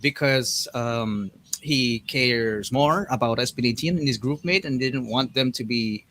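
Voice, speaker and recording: A man hosting a casual live-stream interview, excitable and quick to laugh. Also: mid-range voice, a little hiss or hum. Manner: excitable, laughs often, says "bro" and "dude". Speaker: livestream host.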